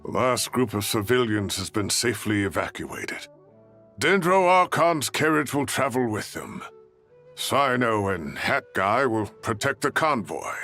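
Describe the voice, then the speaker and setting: An actor playing an earnest, analytical scholar in a video game, formal and explanatory. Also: male voice, mid-range voice, big pitch swings, a little slow, pushed and bright, soft background music. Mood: troubled. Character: earnest, worried scholarly man, analytical advisor.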